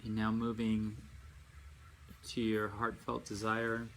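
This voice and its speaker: A man leading a guided meditation as a yoga nidra teacher, speaking in unhurried phrases with long pauses and gentle instruction. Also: on the low side, monotone. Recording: amateur recording with faint background noise.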